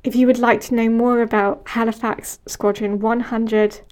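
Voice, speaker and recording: A young woman hosting a podcast, reading a reflective scripted closing in a measured, earnest way. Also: fairly high pitch, little pitch movement, darkish tone. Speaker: podcast host and project researcher.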